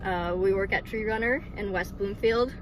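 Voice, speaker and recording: A woman's voice: medium pitch, normal speed, poor audio. Young woman in her twenties, from Michigan, giggly, lots of ums and likes, light background noise. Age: 20s. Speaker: vlogger sharing testimony. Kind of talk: casual personal testimony.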